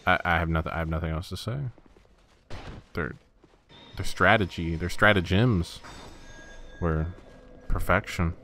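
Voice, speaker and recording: A man with a low, deep voice talks through his gameplay in a relaxed, casual way. He is wry and self-deprecating, and he sometimes stammers over his words. Faint ambient noise sits behind him. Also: a little slow, long pauses. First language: not Russian. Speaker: gaming commentator.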